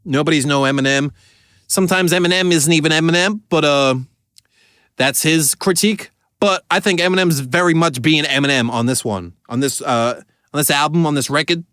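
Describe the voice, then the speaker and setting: A man with a voice going a bit hoarse talks in a loose, rambling way, full of filler words and casual swearing. His voice sits a touch high for a man, and the sound is dry and close. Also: a little fast, fairly bright tone, no echo. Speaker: caricature artist reacting to music. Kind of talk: casual reaction commentary.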